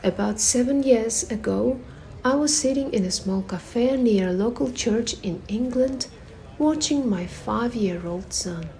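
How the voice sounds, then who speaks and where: Woman reading an essay aloud, unhurried, mellow voice with some vocal fry, measured and reflective. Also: on the high side. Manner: measured, reflective, pausing between phrases. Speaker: reader at a performative reading.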